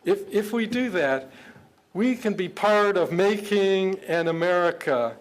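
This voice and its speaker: Older guy giving an earnest speech, kinda high voice, lots of stops and repeated words. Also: expressive, low-quality recording. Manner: halting, often repeats words while searching for phrasing; earnest, measured. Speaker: government official speaking at an interfaith event. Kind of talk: public speech with prepared remarks.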